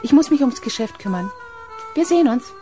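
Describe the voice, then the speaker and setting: An actress playing a self-assured, businesslike art dealer in a radio drama, brisk and assertive. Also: female voice, high voice, lively intonation, music in the background. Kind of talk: sci-fi radio drama dialogue.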